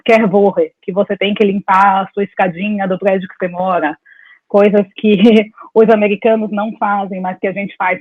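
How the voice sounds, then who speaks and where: A woman's voice: medium pitch, dry recording. Talkative woman over a tinny call line. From Salvador, Brazil. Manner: talkative, meandering storytelling with laughs. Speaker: dentist, interview guest. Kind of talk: casual conversation over a call. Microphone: video call. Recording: dry, tinny phone-like call audio.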